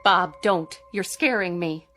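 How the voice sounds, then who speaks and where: An actress playing an astronaut's concerned American wife in a radio drama, gentle and reassuring in her manner. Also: on the low side, slow, faint music behind. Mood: alarmed.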